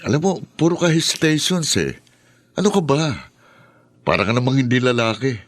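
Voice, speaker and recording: A man's voice: medium pitch, expressive, moderate pace, lightly acted. A man acting in a radio drama as a worldly, pushy friend who cajoles and goads. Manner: cajoling, goading.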